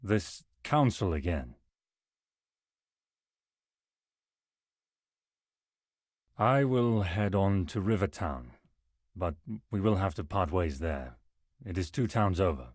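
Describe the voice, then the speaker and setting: A man playing a weary, practical travelling merchant in a visual novel, with a husky voice and a wry, muttering manner. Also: sits low, lively intonation, unhurried, darkish tone, dry recording, studio quality, exaggerated voice. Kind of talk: visual novel character acting.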